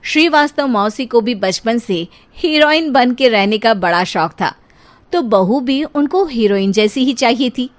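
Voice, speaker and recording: A woman's voice: fairly high pitch, animated, measured pace. A woman narrator telling a scripted comic family tale. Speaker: story narrator.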